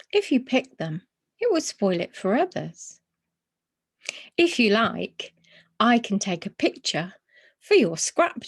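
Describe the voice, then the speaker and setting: A woman voicing a kindly, knowledgeable older gentleman in a children's storybook, explaining things in a measured, courteous way. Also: medium pitch, husky, no room sound, studio quality, full character voice.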